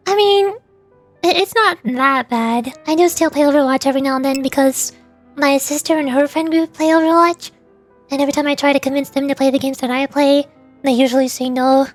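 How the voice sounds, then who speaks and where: Woman streamer, high voice, rambling casual chat, faint music behind. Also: steady tone. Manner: chatty, halting with fillers and restarts.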